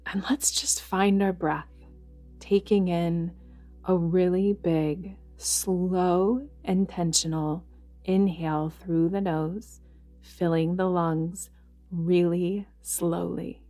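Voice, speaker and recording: A woman leading a guided yoga nidra meditation in a gentle, soothing manner, leaving long pauses between phrases. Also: on the low side, fairly even intonation. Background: background music.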